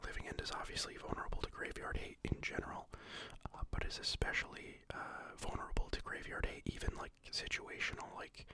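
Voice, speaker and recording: Soft unvoiced whisper, sniffly and rambling. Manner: rambling, halting, many fillers and restarts. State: sniffly, recovering from illness. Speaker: card game deck explainer. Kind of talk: whispered ASMR deck walkthrough.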